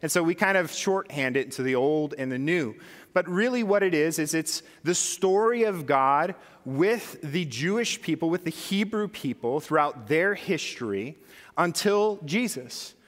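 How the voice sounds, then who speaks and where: A man's voice: a little high, fairly bright tone, in a large hall. A man preaching a sermon as pastor, talking conversationally and putting questions to his congregation.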